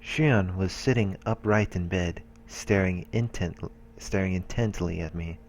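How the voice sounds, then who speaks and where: Low-voiced man reading slowly. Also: darkish tone, slight background noise. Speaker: let's player reading a visual novel aloud. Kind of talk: reading game text aloud, slow and casual.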